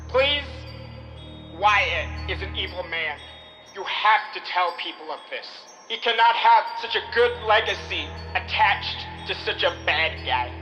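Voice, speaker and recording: A frantic captive, acted by a man in a comedic audio drama, with a bright, sharp voice over music. The figure rambles through grievances with melodramatic self-pity. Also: sits high, lively intonation, measured pace, highs cut off, full character voice. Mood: pleading, sulky. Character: frantic, aggrieved amnesiac captive chained in a silo.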